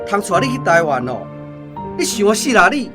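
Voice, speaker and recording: An actor with a Taiwanese accent playing an angry, domineering shop boss in a radio drama. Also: male voice, sits high, very animated, a little fast, music in the background. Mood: furious. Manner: accusing, domineering. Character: furious, bullying male shop boss accusing others.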